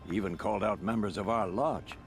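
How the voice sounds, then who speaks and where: Man acting a pompous older hunter guy, low voice, theatrical storyteller, game drama with music playing. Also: a little fast, close to an everyday voice. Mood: solemn, haunted. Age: middle-aged or older. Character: older, self-satisfied veteran hunter, solemn storyteller.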